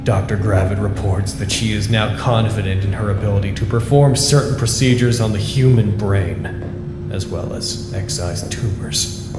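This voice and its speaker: An actor playing an anxious, earnest office worker who records wry, reflective, halting confessional reports in an audio drama. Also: male voice, on the low side, over loud music, lightly acted. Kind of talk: audio drama monologue, intimate log narration.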